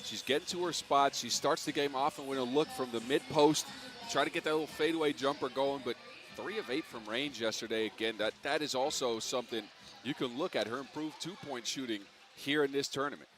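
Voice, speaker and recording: A man giving color commentary on a basketball game, relaxed and analytical with some dry humor. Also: mid-range voice, brisk. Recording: music playing behind the commentary.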